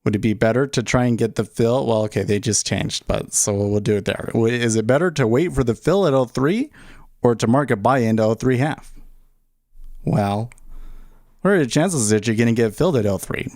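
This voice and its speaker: A man's voice: mid-range voice, normal speed, darkish tone, no echo, clean studio sound. A man hosting a livestream as a day trader, thinking aloud in casual, conversational talk and often checking in with his listeners.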